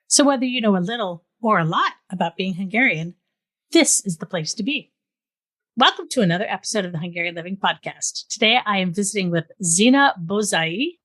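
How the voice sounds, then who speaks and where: A woman's voice: fairly low pitch, a bit bright. A woman hosting a podcast, reading a friendly intro and then interviewing a guest. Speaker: podcast host.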